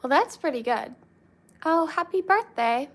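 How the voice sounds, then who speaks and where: A young woman chatting casually with her viewers, relaxed and reading comments aloud. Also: high voice, a little slow. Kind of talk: casual chat with live chat.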